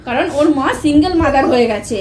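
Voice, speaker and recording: High female voice, young, agitated, scolding, repeating herself, echoey room, faint noise. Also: fast. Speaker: wife in a family prank video. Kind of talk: heated domestic argument. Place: indoor room at home.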